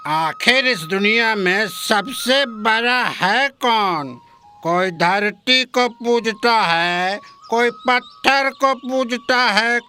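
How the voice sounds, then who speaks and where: A man playing a stubborn village drunkard in a comic cartoon story, with slurred, drawn-out, insistently questioning speech. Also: very high-pitched, pushed and bright, full character voice. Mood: tipsy, musing.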